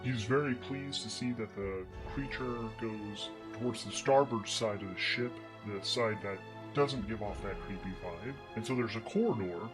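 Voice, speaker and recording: A man narrating a solo tabletop game as host and game master, in a conversational storytelling manner. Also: lowish voice.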